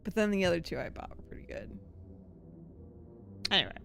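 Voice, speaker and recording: A woman's voice: fairly low pitch, big pitch swings, brisk, gravelly, fairly bright tone, soft background music. A woman streaming a game and chatting casually as she plays. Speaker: live streamer.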